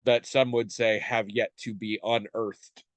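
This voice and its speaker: A man's voice: on the low side, level tone, measured pace, no echo. A man in his forties co-hosting a podcast. He is stuffed up and talks in a rambling, self-deprecating way with many restarts. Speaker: podcast co-host. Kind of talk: casual chat.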